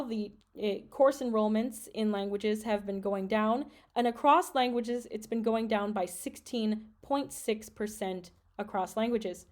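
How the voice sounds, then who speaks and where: A woman from Michigan hosting a podcast, earnestly talking through concerns about education and reading out statistics. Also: on the high side. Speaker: podcast host and French teacher. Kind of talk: solo podcast commentary.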